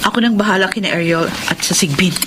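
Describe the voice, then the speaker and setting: An actress playing a bold, determined monster hunter in a Filipino radio horror drama, speaking in a resolute, commanding way. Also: female voice, lowish voice, quick pace, background noise, close to an everyday voice. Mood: grave, resolute.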